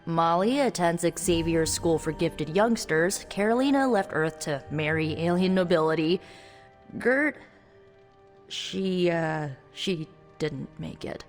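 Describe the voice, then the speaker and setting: A woman's voice: on the low side, animated. A woman playing a young spellcaster hero in a video game, wry and guarded, talking in a conversational, hesitant way. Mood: subdued, regretful. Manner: wry, hesitant, halting asides. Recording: long reverb, music underneath.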